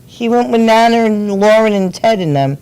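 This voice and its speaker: A woman's voice: mid-range voice, expressive, moderate pace, darkish tone, clean tone, low-quality recording. A woman co-hosting a live web show, answering her partner with dry, scolding retorts. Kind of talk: casual banter on a live stream.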